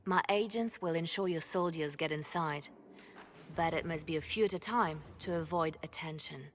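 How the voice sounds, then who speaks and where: A low female voice with faint noise around it an actress in character. She is a secretive spymaster in a fantasy game. She speaks in a measured, calculating way and stays composed. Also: natural voice. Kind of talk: dramatic game cutscene dialogue.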